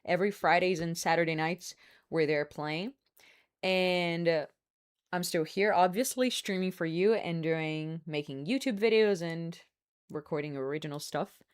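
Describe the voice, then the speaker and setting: A woman's voice: fairly low pitch, a little slow, clean tone. A woman from Brazil, hosting a live stream in casual, candid chat, smoking a cigar as she talks and hedging with fillers. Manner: chatty and candid, hedging with fillers, laughs often; smoking a cigar while talking. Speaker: livestream host.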